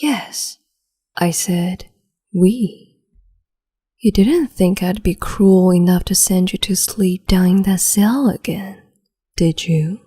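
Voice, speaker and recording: A woman playing a cruel, capricious empress in an ASMR roleplay, whispering in a slow, teasing, domineering way. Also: on the low side, warm tone. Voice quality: breathy whisper.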